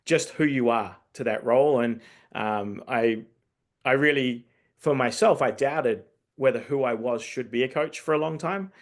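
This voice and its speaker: A man's voice: medium pitch, unhurried. A man who grew up in New Zealand, hosting a casual interview and thinking aloud as he asks long, circling questions. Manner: rambling, thinks aloud, circles back to rephrase. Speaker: host, coach developer.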